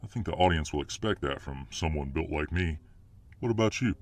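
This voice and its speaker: A man's voice: extremely low pitch, lively intonation, fairly quick, dry recording. A man playing a huge, confident but modest alpha fireman hero in a casual, self-assured ASMR roleplay. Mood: cocky, relaxed. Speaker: voice actor in audio roleplay.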